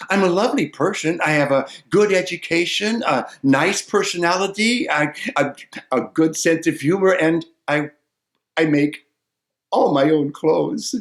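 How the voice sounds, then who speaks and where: A man putting on a sweet lonely maiden. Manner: high, fluttery and pleading. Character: lonely young fair maiden, sweet and hopeful. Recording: roomy echo.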